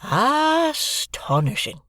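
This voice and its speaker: A simple, good-hearted blacksmith fumbles for grand words in halting country speech, as an audiobook reader puts him on. The voice sits well above the reader's own and moves slowly. It is recorded dry and close. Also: medium pitch, sing-song, dry recording, voice pushed up, exaggerated voice. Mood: stunned. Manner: rustic, halting, earnest speech. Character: simple, kindly village blacksmith, grown man. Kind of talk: audiobook character voice.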